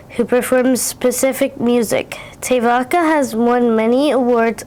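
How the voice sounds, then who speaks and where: A woman's voice: on the high side, a little mellow, low-quality recording. A fourth-grade girl hosting an interview, reading her prepared introduction and questions carefully. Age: child, fourth grader. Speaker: student interviewer.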